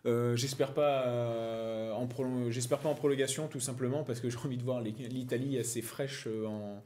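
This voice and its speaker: A man's voice: mid-range voice, steady tone, normal speed, warm tone, slight background noise. A man joking and teasing the others in a casual football discussion, often restarting his sentences. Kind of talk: casual football podcast chat.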